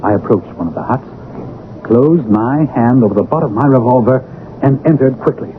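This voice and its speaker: Through a thin, hissy old radio comes a man acting a loyal gentleman narrator. He is earnest and formal, with a medium-pitched voice that rises and falls widely. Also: animated, moderate pace, natural voice. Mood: tense narration. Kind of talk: 1970s radio drama acting. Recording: narrow-band vintage radio, faint hiss.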